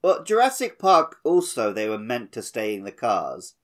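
A man's voice: mid-range voice, huge pitch swings, normal speed. A man co-hosting a podcast, chatting casually about a video game with wry, dry humour. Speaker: podcast co-host.

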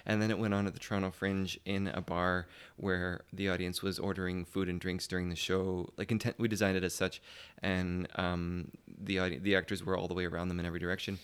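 A man with a fairly low voice talks casually as an interview guest. He is loose and giggly, letting thoughts trail off and picking them up again. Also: level tone, brisk, hardly any pauses, smooth. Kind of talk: casual chat.

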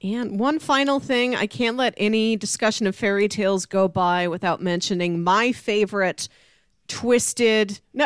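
A woman hosts a relaxed pop culture podcast in a chatty, enthusiastic storytelling way. Her voice sits at a middling pitch, recorded dry with very little room around it. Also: smooth, dry recording. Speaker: podcast host. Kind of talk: casual pop culture discussion.

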